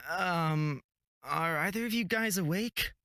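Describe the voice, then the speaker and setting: A performer voicing a gentle, cheerful young man who keeps the peace in a visual novel. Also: mid-range voice, slow. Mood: gentle, upbeat.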